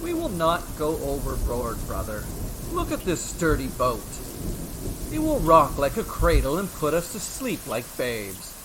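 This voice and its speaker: A man acts out a brave, boisterous brother in a mythic storybook. He declaims with hearty, carefree confidence, unhurried and very animated in pitch. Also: background noise. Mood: boisterous reassurance. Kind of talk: dramatic storybook character acting.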